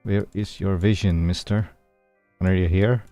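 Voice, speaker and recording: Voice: deep voice, steady tone, a little slow, warm tone, faint music behind. A video game streamer giving casual, grumbling playthrough commentary in an informal, muttering way.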